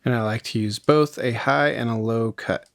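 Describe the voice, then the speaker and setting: A man narrating a casual, step-by-step music software tutorial in an informal, explanatory way. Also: medium pitch, normal speed, creaky, no room sound, clean studio sound. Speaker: music production tutorial host.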